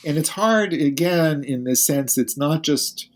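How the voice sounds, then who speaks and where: A man's voice: on the high side, expressive, a little slow. A male physicist, a guest on a podcast interview, who explains carefully and thinks aloud as he speaks.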